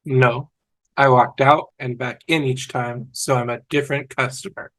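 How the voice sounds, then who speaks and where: A man plays a childlike, earnest young goof from a TV comedy script. His voice sits at an average pitch in a dry, close recording. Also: steady tone, normal speed, a bit bright, dry recording, lightly acted, rough recording. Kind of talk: fan table read of a TV comedy.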